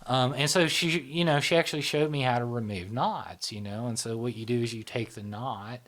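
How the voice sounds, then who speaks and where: A man hosts a relaxed, casual conversation and tells his stories loosely. He keeps checking in with "right?" and "you know?", and his voice sits in an ordinary middle pitch. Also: moderate pace. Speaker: host.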